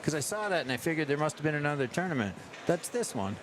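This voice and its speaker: An American man hosting a livestream from a sports event in a casual, halting way, pausing often mid-sentence. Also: fairly high pitch, big pitch swings, a bit bright. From United States. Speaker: livestream host at an ice hockey tournament. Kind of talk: casual live chat. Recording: clear urban ambient noise, live on location.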